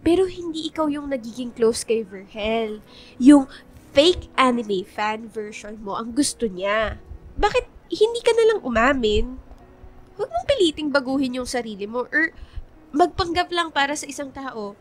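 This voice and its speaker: A woman's voice: sits high, animated, measured pace. A woman acting as a caring but exasperated young best friend in a radio drama, earnest, dramatic and scolding. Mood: earnest, concerned.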